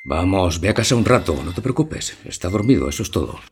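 An anxious father in a radio play, his voice very low and subdued. He speaks in short, soothing phrases over faint background noise. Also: very animated, a little fast, a little mellow. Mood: quietly reassuring. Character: worried father of a hospitalised boy, quietly reassuring. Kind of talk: radio drama acting.

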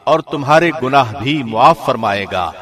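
A man reading a scripture translation from a script in a measured, formal announcer's delivery. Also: mid-range voice, animated, a bit bright. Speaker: translation reader, radio host.

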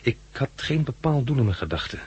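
An actor playing a shaken but helpful hospital patient in a 1960s radio drama, hesitant and urgent. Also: male voice, mid-range voice, very animated, brisk, darkish tone, slightly rough, muffled recording. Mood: grave narrating. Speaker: lead actor and narrator. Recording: 1969 radio recording, faint noise.

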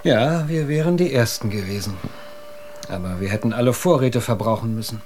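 An actor as a plain-spoken expedition officer, mid-pitched. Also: male voice, normal speed, a little mellow, slight background noise, close to an everyday voice. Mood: sober. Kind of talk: radio drama acting.

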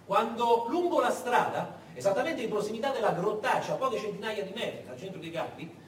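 Animated high-voiced male theatrical storyteller. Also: brisk. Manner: dramatic, rhetorical questions, emphatic repetition. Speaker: stage actor and storyteller. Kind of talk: theatrical narrative monologue. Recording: echoey distant theatre recording, faint noise.

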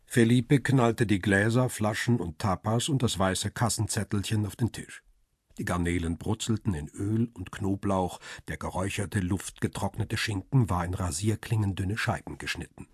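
A coolly observant male paparazzo telling his story in a radio crime drama. The voice is very raspy and fairly low, recorded close and dry. He narrates in a measured, descriptive way. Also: dry recording. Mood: detached, precise. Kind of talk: scripted first-person radio drama narration.

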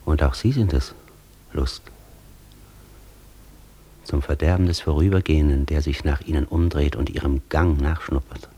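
A man's voice: extremely low pitch, moderate pace, pauses often, warm tone, slightly rough. A man acting an eloquent, imperious, worldly professor and master in a German radio play, musing theatrically. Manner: theatrical, musing, swinging emphasis.

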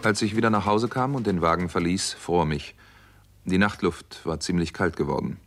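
A doctor who tells his own crime story in a German radio play of the sixties. His voice is deep and his delivery measured and understated. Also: a bit bright, slight background noise. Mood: uneasy, reflective. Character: American doctor narrating a crime story, calm, uneasy. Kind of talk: 1960s German radio play, first-person crime narration.

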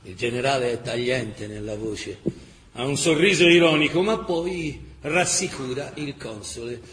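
A man narrating a dramatic historical chronicle in emphatic, measured radio-theatre style. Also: mid-range voice, a bit bright. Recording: echoey reverberant hall, faint noise.

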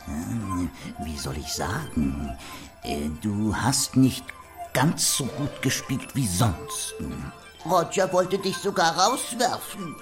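A man playing a hesitant, secretive figure in a German radio play, halting as he breaks bad news. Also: medium pitch, sing-song, music in the background. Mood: hesitant, hushed.

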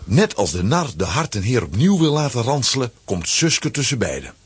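A man narrates a children's story from a script. His voice is fairly low and very husky, with lively melody. The recording is dry. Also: moderate pace, no room sound.

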